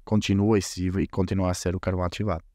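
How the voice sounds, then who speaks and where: A man's voice: low voice, quick pace, dry recording, studio quality. Portuguese man with a hybrid accent. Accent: Portuguese mixed with Brazilian. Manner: relaxed, rambling with frequent restarts. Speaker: company sales director, guest. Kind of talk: interview conversation.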